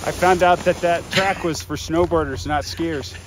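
A man's voice: fairly high pitch, steady tone, normal speed. A middle-aged man, the dad in a family ski vlog, telling a rambling story with fillers outdoors on a ski slope, filmed on a phone. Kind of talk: casual chat.

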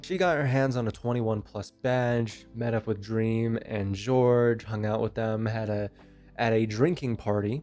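A man's voice: medium pitch, dark tone. Young man, nasal from a cold, blunt casual commentary, handheld mic, small bedroom, faint background music. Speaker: commentary YouTuber. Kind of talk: drama commentary. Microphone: handheld microphone.

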